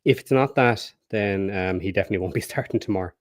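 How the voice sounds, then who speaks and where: A hoarse man joining a football podcast as a guest, speaking in a measured, hesitant way with many pauses. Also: low-pitched, no room sound, studio quality. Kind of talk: casual chat.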